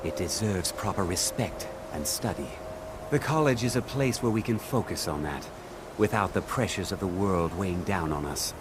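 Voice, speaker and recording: An actor playing a stern, dignified archmage in a fantasy video game, speaking in a formal, measured and authoritative way. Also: male voice, low voice, expressive, background noise. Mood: solemn, lofty. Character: stern, dignified male archmage of a magic college. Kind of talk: video game character dialogue.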